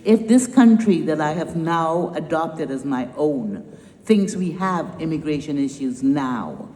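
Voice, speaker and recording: A woman's voice: deep voice, animated, unhurried, mellow. A woman from Trinidad with a Trinidadian accent, founder of a nonprofit giving an emphatic, rousing speech with wry humor. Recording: echoey hall with long reverb.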